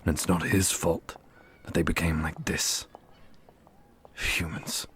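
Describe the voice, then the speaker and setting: A man playing a brooding, scornful supernatural warrior in an ASMR roleplay, speaking formally in a husky, breathy voice. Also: low-pitched, little pitch movement, slow pace, fairly bright tone. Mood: brooding disdain.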